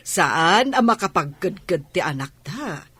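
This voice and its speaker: A woman's voice: on the low side, big pitch swings, slow pace, pushed and bright, breathy edge, no echo, exaggerated voice. A woman playing a mild middle-aged mother in a radio comedy drama. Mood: wistful.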